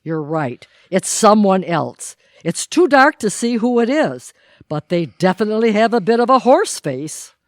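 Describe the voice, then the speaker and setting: A woman's voice: medium pitch, very animated, a little slow, no echo, clean studio sound. A woman playing a nosy, suspicious neighbour with a gossipy, dramatic delivery in an audio theatre comedy. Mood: conspiratorial.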